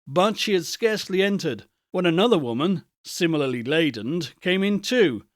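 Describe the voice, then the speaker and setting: A man reading a classic ghost story aloud as an audiobook, in a measured, expressive storytelling manner. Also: fairly high pitch, dry recording, studio quality. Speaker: audiobook narrator. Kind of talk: scripted narration of a classic novel.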